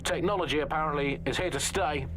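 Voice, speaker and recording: A man's voice: on the high side, fast talker, a bit bright, a little hiss or hum, close to an everyday voice. A middle-aged British man playing a wry, sardonic pirate radio host who delivers rambling political satire.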